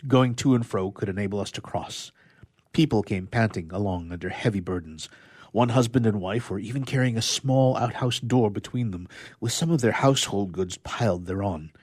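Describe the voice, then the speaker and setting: Male narrator for a literary excerpt, lower register, rough-grained tone, measured and expressive delivery. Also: no echo, studio quality. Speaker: radio player reading a literary excerpt. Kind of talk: dramatic audiobook-style reading of a novel excerpt.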